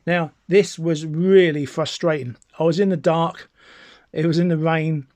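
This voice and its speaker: A British man with a slightly high-pitched voice, recorded dry with very little room sound. He talks like an enthusiastic hobbyist presenting a home demonstration, informal and chatty, rambling along as he explains. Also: fairly even intonation, a little slow, a little mellow, no room sound. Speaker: amateur radio enthusiast presenting a video. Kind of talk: informal product demonstration to camera.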